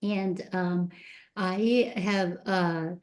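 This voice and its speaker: A woman school board candidate giving an earnest, halting opening statement with many fillers. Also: fairly low pitch, fairly even intonation. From outside the US.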